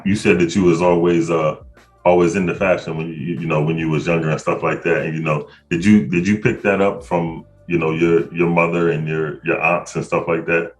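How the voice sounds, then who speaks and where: A man in his thirties hosting a casual interview, asking halting questions and affirming often. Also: deep voice, level tone, soft background music. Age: 30s. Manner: lots of affirming "yeah" backchannels, halting questions. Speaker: podcast host.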